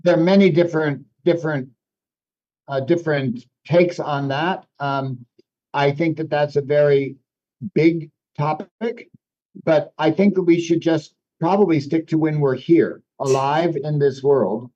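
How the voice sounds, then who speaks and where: A man whose first language is French speaks in a fairly high, mellow voice, explaining ideas thoughtfully and often restarting his phrasing. The recording is dull and lo-fi. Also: fairly even intonation, a little slow. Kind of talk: reflective conversation. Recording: dull lo-fi sound.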